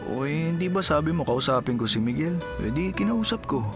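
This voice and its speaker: A man's voice: fairly high pitch, expressive, brisk, over music, close to an everyday voice. An actor playing a playful, charming policeman husband and doting father in a Filipino audio drama, teasing and breaking into song.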